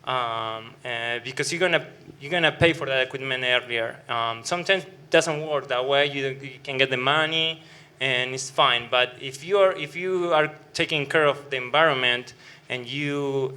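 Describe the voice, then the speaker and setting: A man with an Argentine accent speaking on a brewing panel, halting with many fillers and restarts, mixing in joking asides. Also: mid-range voice, sharp tone. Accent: Argentine Spanish. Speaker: brewing operations director on a panel. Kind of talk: panel discussion.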